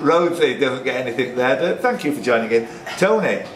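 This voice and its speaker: A man's voice: medium pitch, lively intonation, brisk, distant mic. A man, acting as quizmaster, reading questions and bantering with the players. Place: pub, indoors among a group of players. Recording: roomy pub recording, off-mic, faint noise.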